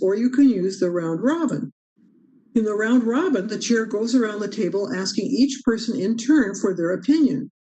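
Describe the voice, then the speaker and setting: A woman's voice: mid-range voice, dark tone, rough recording. A woman presenting a training session on meeting procedure over a video call, earnest and emphatic as she stresses key rules. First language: English. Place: home or office on a video call. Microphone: video call microphone.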